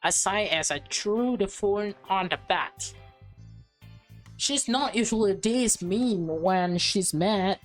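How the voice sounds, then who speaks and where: A woman's voice: medium pitch, slow pace, faint music behind. A woman reading visual novel text aloud as a let's player, with casual commentary mixed in.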